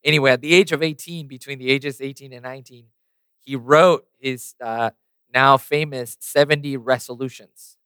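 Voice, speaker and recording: A man's voice: mid-range voice, steady tone, normal speed, a bit bright, smooth, dry recording. A man preaching a sermon and praying, losing his voice a little, with many fillers and restarts. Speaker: pastor. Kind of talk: sermon with prayer.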